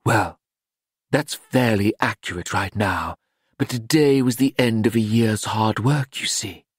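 A man's voice: lowish voice, a little slow, slightly rough, no room sound, clean studio sound. Audiobook casting: worldly, indulgent madam, male narrator performing, grand, languid and persuasive. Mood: candid, coaxing.

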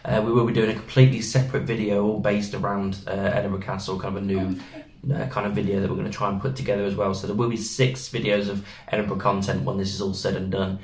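Man, thirties, casual rambling vlog host, lowish voice, roomy echo, distant mic. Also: level tone, quick pace, a little mellow. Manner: chatty, rambling with fillers. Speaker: travel vlogger. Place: small hotel room, indoors. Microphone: camera in front of seated pair. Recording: echoey room, mic at a distance.

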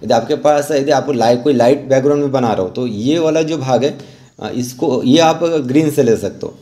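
An Indian man giving an informal step-by-step tutorial voice-over as an instructor, halting and repeating himself as he explains. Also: mid-range voice, dark tone. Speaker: rangoli art instructor.

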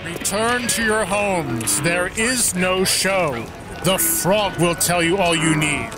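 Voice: medium pitch, animated, takes it slowly, over music. An actor playing a fanatical doomsday cultist chanting proclamations in an audio drama. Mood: zealous.

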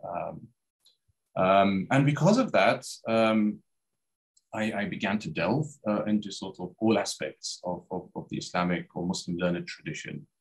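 Low-voiced man from Iraq, hesitant. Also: unhurried, vocal fry, low-quality recording. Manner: hesitant, many restarts and fillers. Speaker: guest scholar. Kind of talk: casual interview over video call. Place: home study with bookshelves. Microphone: webcam on video call.

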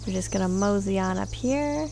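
A woman's voice: medium pitch, moderate pace, vocal fry, music in the background. A woman giving casual, chatty video game commentary, thinking aloud and exclaiming as she plays.

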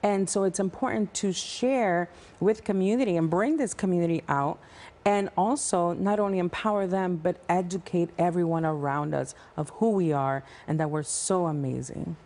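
A woman in her thirties from El Salvador, a nonprofit founder talking passionately as a guest in a TV news studio on a lapel mic. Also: fairly low pitch. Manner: passionate, fast-flowing, animated hand gestures. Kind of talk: TV studio interview.

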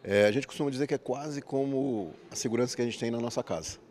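A man in his forties, a guest business partner, giving measured interview answers with everyday examples into a handheld mic in a large indoor hall. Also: medium pitch, a little mellow. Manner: measured, explanatory, uses examples.

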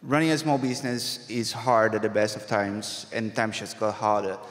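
A man in his thirties, a non-native English speaker from South America, explaining calmly at a conference as a creative director. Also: on the low side, moderate pace. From Brazil or Argentina. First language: Spanish or Portuguese. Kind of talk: conference talk. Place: stage in a large hall. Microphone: stand mic. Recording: reverberant hall.

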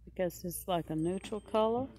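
A woman's voice: lowish voice, normal speed, mellow. A woman shopping vlogger giving casual running commentary as she browses a large furniture showroom.

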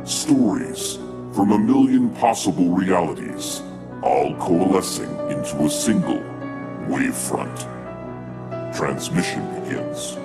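A man's voice: sits low, slow. A man playing a solemn, portentous narrator of a science fiction radio anthology. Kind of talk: scripted radio drama narration. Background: loud music.

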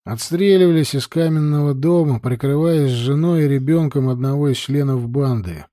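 Bright-free mellow male audiobook narrator, dry sound. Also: medium pitch, normal speed, dry recording. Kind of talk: scripted narration of a military novel.

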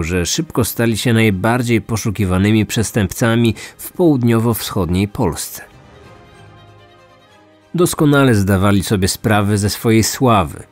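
A man's voice: mid-range voice, darkish tone. Male true-crime narrator over music. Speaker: true-crime podcast host. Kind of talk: scripted storytelling narration.

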